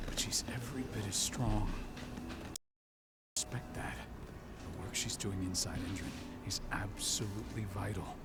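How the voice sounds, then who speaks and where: Deep, weary, stern pack leader. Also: male voice. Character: stern, wounded veteran werewolf pack leader. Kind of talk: video game cutscene acting. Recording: loud background noise.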